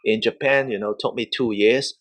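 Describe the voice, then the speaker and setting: A man's voice: medium pitch, steady tone, moderate pace, a bit bright. A man from Hong Kong, a native Cantonese speaker with a Hong Kong accent, telling stories casually as an interview guest. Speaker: professional wrestler, interview guest. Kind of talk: casual storytelling.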